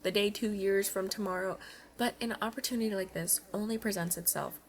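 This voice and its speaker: A woman's voice: medium pitch, a little fast, lightly acted. A woman playing a playful, pleading young alien princess at college in an ASMR roleplay, chatting and coaxing the listener directly.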